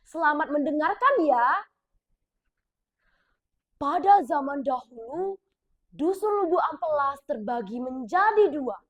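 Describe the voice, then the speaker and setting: A female storyteller from South Sumatra tells a folk tale in a clear, expressive voice. Her voice sits high and her narration is measured. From South Sumatra, Indonesia.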